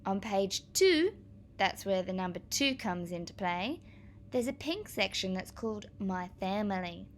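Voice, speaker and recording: Slow-paced woman reading aloud to children. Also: mid-range voice, a little hiss or hum. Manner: clear, expressive, addressing young children. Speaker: primary school teacher reading aloud. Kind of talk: children's read-aloud with explanatory asides.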